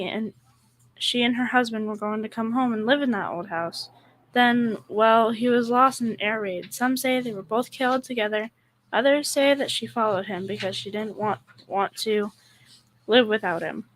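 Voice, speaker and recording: A young female performer playing a calm, gossipy, caring wife in a school old-time radio comedy. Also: a little high, close to an everyday voice, rough recording. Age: young teen.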